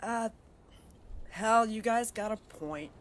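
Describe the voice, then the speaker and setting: A woman's voice: a little high, slow pace. A woman playing a brash, tough wasteland wanderer who talks bluntly and curses. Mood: friendly. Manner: blunt, cursing, sharp-tongued.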